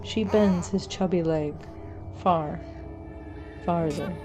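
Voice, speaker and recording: A woman reading a scripted horror story in a measured, dramatic storytelling manner. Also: lowish voice, music in the background. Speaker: story narrator.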